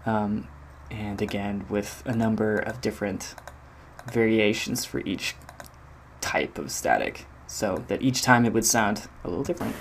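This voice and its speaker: A man's voice: lowish voice, unhurried, vocal fry, a little hiss or hum. A man giving an informal walkthrough of a demo, speaking hesitantly as if thinking aloud. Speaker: game audio designer presenting own project.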